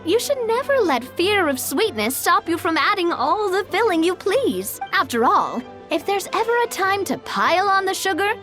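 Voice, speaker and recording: A woman's voice: very high voice, sing-song, moderate pace, few pauses, a bit bright, heavily acted. A woman voicing a flamboyant, playful and grandiose showrunner in an anime game, speaking with theatrical flourishes over music. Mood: delighted, indulgent. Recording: music behind the voice.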